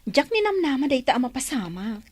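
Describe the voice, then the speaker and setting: An actress playing a chatty, supportive, teasing friend in a radio drama, full of exclamations. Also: female voice, sits high, very animated, a little fast, no echo. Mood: delighted, gossipy. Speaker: voice actor in Ilocano radio drama.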